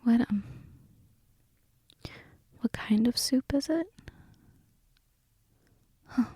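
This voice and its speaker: Hushed, husky, hesitant mom played by a woman. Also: a little high, dry recording, clean studio sound, full character voice. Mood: softening, nervous. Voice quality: husky, breathy, often whispery. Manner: hesitant, halting, soft-spoken. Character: sick, flustered, reluctant middle-aged mother at home. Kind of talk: intimate girlfriend audio roleplay.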